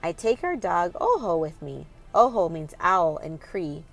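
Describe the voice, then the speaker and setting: A woman's voice: on the low side, sing-song, unhurried, vocal fry, faint music behind. A woman hosting a children's read-aloud, reading expressively and putting questions directly to young listeners in an instructive way.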